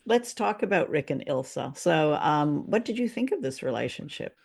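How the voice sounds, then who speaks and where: A woman's voice: low voice, big pitch swings, moderate pace, gravelly, darkish tone, breathy edge. A woman co-hosting a podcast in a casual, conversational film discussion. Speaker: podcast co-host.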